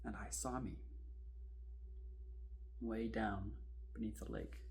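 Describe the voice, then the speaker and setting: A man performs a talkative kayak rescuer telling a horror story as if to a friend. He is wry and informal, halting for suspense as he recounts a strange ordeal in a drama monologue. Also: lowish voice, fairly even intonation, vocal fry, a little mellow. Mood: hushed dread. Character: chatty kayak rescuer recounting an eerie near-drowning.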